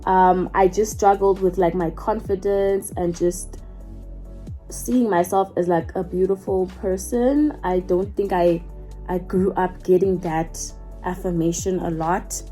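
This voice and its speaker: Slow-talking South African woman chatting. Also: on the low side, fairly even intonation, mellow, soft background music. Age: 20s. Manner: chatty, rambling, self-correcting. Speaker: YouTuber. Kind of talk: casual get-to-know-me chat.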